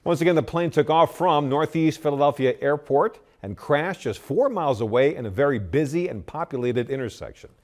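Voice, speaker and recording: A man's voice: on the high side, brisk, few pauses, darkish tone. A man with an American accent anchoring a television newscast in a scripted delivery. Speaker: news anchor.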